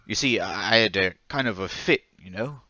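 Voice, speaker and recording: A man's voice: on the low side, normal speed, sharp tone, dry recording, dull audio. An actor playing a timid, troubled stocking salesman in an old-time radio drama, hesitant, stammering and deferentially polite. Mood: anxious, confessional.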